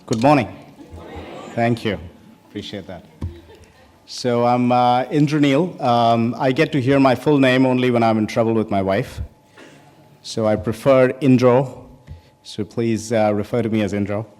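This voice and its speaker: A man's voice: medium pitch, a little slow, pauses often, darkish tone. A man from India in his forties giving an opening talk at a conference, casual and joking, with many ums and restarts. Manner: conversational, many ums and restarts, jokes with the audience. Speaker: keynote speaker. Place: large conference hall stage. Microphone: podium microphone. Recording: reverberant hall.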